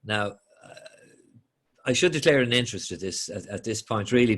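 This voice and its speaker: A middle-aged Irish man interviewing an author in a friendly way, often stumbling over repeated words. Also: lowish voice. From Ireland. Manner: halting, repeats words as he starts.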